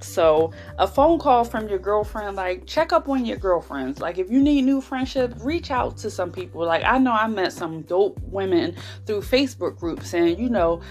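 A woman's voice: medium pitch, darkish tone. An American woman hosting a podcast, talking in a casual, heartfelt and conversational way, repeating phrases for emphasis with an earnest, encouraging manner. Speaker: podcast host. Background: background music.

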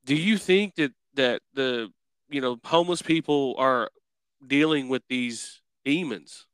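A man's voice: mid-range voice, a little slow, fairly bright tone, smooth, no echo. A man hosting a casual interview, rambling and circling his way toward a question. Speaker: podcast host interviewer.

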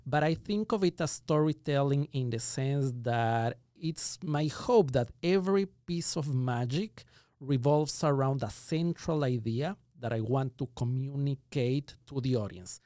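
A man in his thirties speaks with an Ecuadorian Spanish accent, his voice sitting at an ordinary middle pitch. He talks thoughtfully, building each point in measured phrases. The sound is close and dry, with no room echo. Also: warm tone. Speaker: guest magician. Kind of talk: reflective conversational interview.

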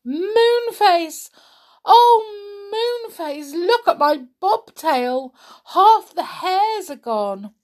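Waffles, a squeaky shy rabbit, as a woman puts him on. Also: animated, a little slow, heavily acted. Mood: frightened. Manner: high squeaky pleading voice. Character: small shy excitable male rabbit.

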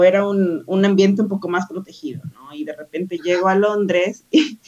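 A woman's voice: on the low side, a little mellow, muffled recording. A woman from Ecuador, a guest in a casual conversation, speaking in a rambling, hesitant way with many fillers.

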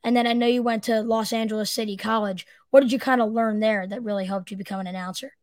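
A woman's voice: fairly high pitch, steady tone, quick pace, a little mellow, no room sound, clean studio sound. A woman hosting a casual sports interview podcast and putting questions to a guest.

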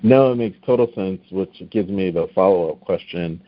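A man with a deep voice hosting an interview, asking measured, thoughtful questions. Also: a little hiss or hum. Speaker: interview host. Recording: dry, slightly dull lo-fi audio.

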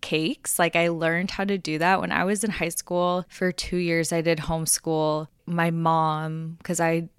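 A woman's voice: fairly low pitch, flat delivery, a little slow, hardly any pauses, smooth, no echo. A woman in her twenties hosting a casual solo podcast, chatty and rambling with lots of filler words. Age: 20s. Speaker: podcast host.

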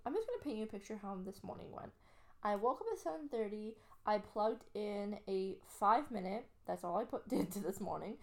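Chatty Canadian woman with gravelly fry. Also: medium pitch. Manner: chatty, upbeat, lots of fillers. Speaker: podcast co-host. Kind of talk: casual chat.

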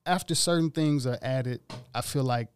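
A man's voice: mid-range voice, normal speed, clean tone. A man, a musician guest, talking casually and rambling in slangy speech about his music. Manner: laid-back, rambling, slangy. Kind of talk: casual interview talk.

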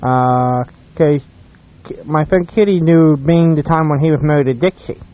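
An American man co-hosting a radio show, chatting casually and telling anecdotes in a rambling, halting way. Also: fairly high pitch. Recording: thin phone-like radio sound.

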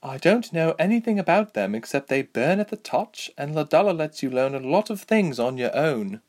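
A man's voice: on the high side, sing-song, normal speed, darkish tone, voice pushed up. A man voicing an easygoing female elf fighter with a dryly teasing manner, in a fantasy storybook. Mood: matter-of-fact.

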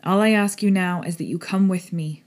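A woman's voice: fairly low pitch, normal speed, a little mellow, natural voice. A woman voicing a stern yet kind elderly male professor in an audiobook, solemn, earnest and formal. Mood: grave, stern. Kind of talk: audiobook character acting.

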